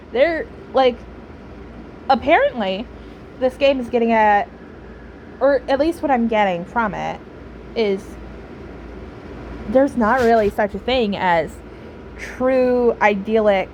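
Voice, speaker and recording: A woman gives reflective, casual game commentary in a fairly high voice. She speaks slowly and haltingly, thoughtful but opinionated, with faint noise in the background. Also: a little mellow, rough recording. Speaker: game streamer reacting to story scenes.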